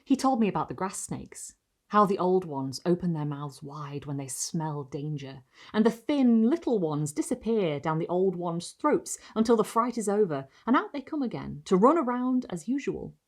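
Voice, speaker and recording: A woman reading a gothic short story aloud, measured and expressive, lingering on phrases. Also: lowish voice. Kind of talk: literary audiobook-style narration of gothic fiction.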